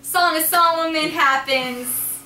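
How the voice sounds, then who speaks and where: A young American woman with a bright, slightly high voice, joking and teasing her way through a casual chat. She is picked up from across a small, echoey storeroom by a distant camera mic, with a faint hiss underneath. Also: slow. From United States. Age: young adult. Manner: playful, giggly, teasing. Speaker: co-host. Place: small cluttered storeroom indoors. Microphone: far camera mic.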